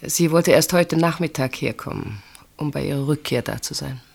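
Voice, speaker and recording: Serious mature lady in a german radio drama, halting and confiding, weighty pauses. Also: low voice, creaky, darkish tone, breathy edge, exaggerated voice. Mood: defensive. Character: earnest older woman, sister pleading for a marriage. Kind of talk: German radio play dialogue.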